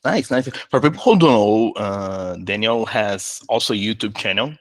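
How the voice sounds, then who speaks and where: Brazilian-accented man hosting, low voice. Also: lively intonation, moderate pace, dry recording. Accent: Brazilian Portuguese. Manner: friendly, hesitant with many fillers. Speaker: interviewer host. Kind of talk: casual interview.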